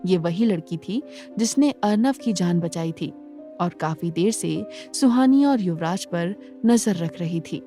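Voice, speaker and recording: A woman's voice: lowish voice, animated, measured pace, dark tone, faint music behind. A woman narrator reading a scripted story in a dramatic storytelling style.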